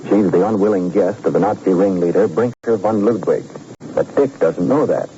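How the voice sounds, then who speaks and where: A man narrating a 1940s radio serial as its announcer, with brisk, dramatic delivery. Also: deep voice, warm tone, dull audio. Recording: old radio broadcast, faint hiss.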